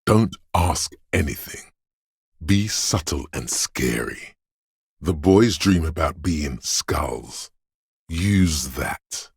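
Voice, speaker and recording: An actor voicing a video game character, a paranoid, aggressive inner voice of fight-or-flight instinct, speaking in an ominous, raspy, urging way. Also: male voice, no room sound, clean studio sound, exaggerated voice. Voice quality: very deep, gravelly, raspy. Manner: slow, deliberate, ominous urging.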